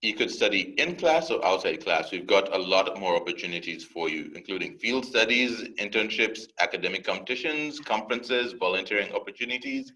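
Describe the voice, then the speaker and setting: A young man from Uganda presents a university webinar as an admissions counselor. His voice sits very low yet sounds bright and forward. He keeps a relaxed, lightly joking tone with some fillers. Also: a little fast, few pauses, poor audio.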